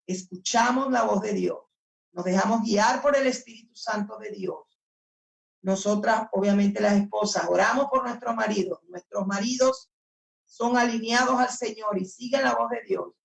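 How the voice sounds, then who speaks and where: A woman's voice: medium pitch, moderate pace, gravelly, far from the mic. A woman preaching earnestly in a church service, speaking alongside the pastor and echoing his words. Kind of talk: sermon.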